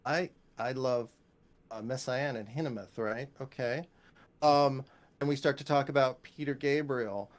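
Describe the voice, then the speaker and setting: A man's voice: mid-range voice, steady tone, a little slow. A man speaking as a composer being interviewed, casual and enthusiastic, rambling and often checking in with "right?". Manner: enthusiastic, rambling, punctuated with "you know" and "right?". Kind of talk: casual interview conversation about music.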